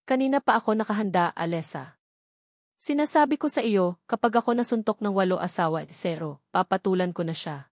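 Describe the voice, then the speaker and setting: A woman's voice: mid-range voice, lively intonation, brisk, darkish tone, no echo, clean studio sound, natural voice. A Filipino woman voicing a wary, grumbling young man in an audiobook story, informal and complaining. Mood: defiant.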